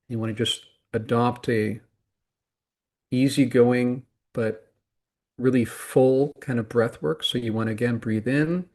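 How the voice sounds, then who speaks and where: Male meditation guide, mid-range voice, calm and deliberate, giving instructions in short, spaced phrases. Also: medium pitch. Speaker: meditation teacher.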